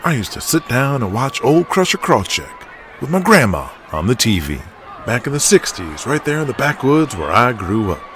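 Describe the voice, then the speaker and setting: A man voicing a cocky, smirking male wrestler in an audio drama, laying on grandiose, drawn-out flattery. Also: mid-range voice, background noise, close to an everyday voice. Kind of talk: audio drama character acting.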